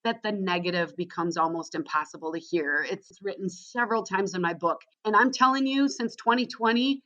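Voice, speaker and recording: A woman with a Wisconsin accent talks with energy and enthusiasm as a podcast guest, her voice at a middle pitch. She often checks in with a quick "right?". The sound is a bit dull and lo-fi. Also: a bit bright. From Wisconsin, USA. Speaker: guest, school social media trainer. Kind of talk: podcast interview.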